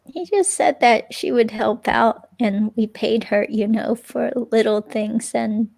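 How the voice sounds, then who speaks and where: A woman reading the part of an evasive, unsure ex-girlfriend in a police interview, with a creaky, hesitant, rambling delivery. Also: on the high side, unhurried, a little mellow. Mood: hesitant, vague. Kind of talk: dramatic reading of an interview transcript, conversational.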